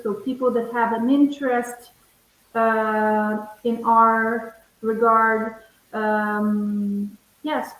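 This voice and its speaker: A woman who is a corporate English teacher, co-hosting an online lesson and calmly breaking words down for learners. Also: fairly high pitch. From half American, half Italian. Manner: calm, explanatory, slightly formal. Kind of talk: teaching webinar, explaining words. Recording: very echoey room.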